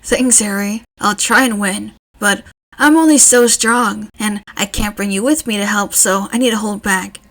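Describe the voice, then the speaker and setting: A kind teenage boy hero, voiced by a woman narrator, earnest and youthful. The pitch sits in a middle range, in the manner of an audiobook reading. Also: normal speed, a bit bright, lightly acted. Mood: gentle, earnest. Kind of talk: audiobook character voice.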